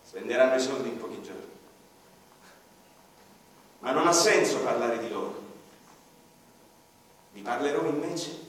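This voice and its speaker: Echoey theatrical actor as a rebellious old man. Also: a little high. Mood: wistful. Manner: theatrical, emphatic, deliberate pauses, swinging from loud to hushed. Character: dead, rebellious, embittered 84-year-old man, murdered, narrating his life. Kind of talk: dramatic literary monologue, radio theatre. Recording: very echoey hall, distant microphone. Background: faint violin music.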